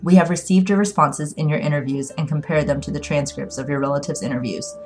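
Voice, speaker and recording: A woman's voice: sits low, quick pace, darkish tone, faint music behind, lightly acted. A woman voicing a formal, unyielding male immigration official speaking through a translator in an audiobook. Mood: stern, official.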